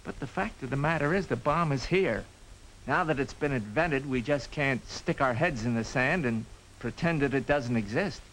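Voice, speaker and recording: Actor as small town doctor guy, practical n reassuring, medium pitch, hissy 50s film sound. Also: animated, moderate pace, fairly bright tone, natural voice, rough recording. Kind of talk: 1950s film drama acting.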